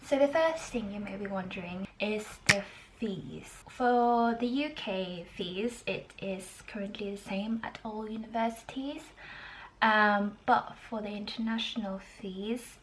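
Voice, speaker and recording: A woman's voice: mid-range voice, rough recording. Young woman vlogging over background noise. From Norway. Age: 20s. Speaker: student video blogger. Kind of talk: casual informative vlog to camera.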